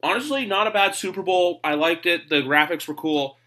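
A man's voice: sits high, fairly quick, pushed and bright. A man hosting a sports podcast in casual chat, rambling and opinionated. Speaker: podcast host.

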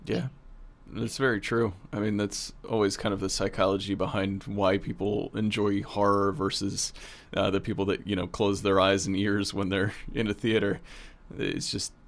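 Low, gravelly-voiced man chatting casually. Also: level tone, normal speed. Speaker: producer and co-host. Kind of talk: casual interview chat.

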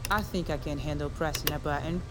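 An actress playing an easygoing Army veteran crewmate in an audio drama, casual and wry. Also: female voice, deep voice, steady tone, brisk, close to an everyday voice. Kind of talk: audio fiction drama acting. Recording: clearly noisy set with clicks.

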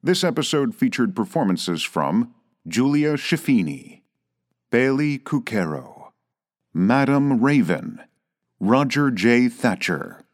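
A man delivers scripted podcast credits as the announcer. His voice sits at an average male pitch and moves up and down with plenty of animation. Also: big pitch swings, slow.

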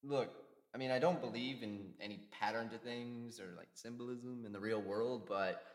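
A man's voice: medium pitch, steady tone, moderate pace, natural voice. An actor playing a jittery, sarcastic, squeamish man who whines his way through an audio drama. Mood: uneasy. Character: jittery, wisecracking, squeamish man on a creepy quest. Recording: echoing hall, long reverb.

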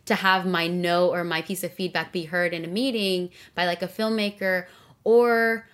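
A woman's voice: fairly low pitch, fairly even intonation, normal speed, a bit bright. Chatty young woman, podcast guest. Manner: chatty, many fillers, earnest. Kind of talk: casual interview conversation.

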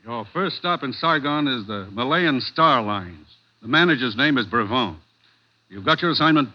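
A man playing a stern, businesslike American commissioner giving brisk, authoritative orders in a 1940s radio drama. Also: mid-range voice, expressive, lightly acted. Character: stern, businesslike government commissioner briefing his agent. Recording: dull vintage radio recording.

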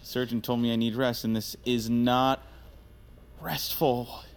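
An actor playing an exasperated, anxious confined patient in an audio drama, calling out to unseen captors. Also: male voice, mid-range voice, fairly even intonation, unhurried, clear, resonant tone, lightly acted. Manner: agitated, calling out.

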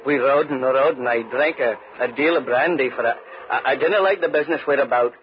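A man's voice: mid-range voice, a little fast, lightly acted. An actor playing a humble, uneasy tenant farmer who tells his tale in earnest, folksy 1940s radio style. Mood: uneasy, defensive. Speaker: narrator and lead character. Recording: muffled, noisy 1940s radio recording.

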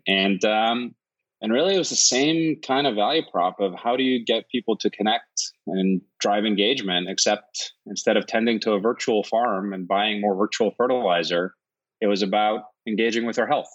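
A man from Iceland, a company founder answering interview questions in a steady, thoughtful way, often listing points in runs. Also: on the low side, dull audio. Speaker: guest, tech founder.

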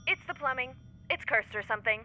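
A woman's voice: high voice, expressive, quick pace, background noise. An actress playing a fretful, superstitious woman caller in an audio drama, insistent and repetitive. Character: fretful, superstitious woman calling about cursed plumbing. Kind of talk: audio drama phone call.

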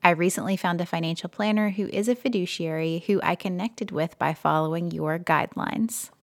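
A woman co-hosting a personal finance podcast, reading a listener's letter aloud in a steady, measured way. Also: fairly low pitch, dry recording, studio quality. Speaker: podcast co-host.

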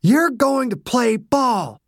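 A man's voice: fairly high pitch, unhurried, no room sound, studio quality. A man voicing a brutal, menacing man who threatens and snarls at a younger man. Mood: furious.

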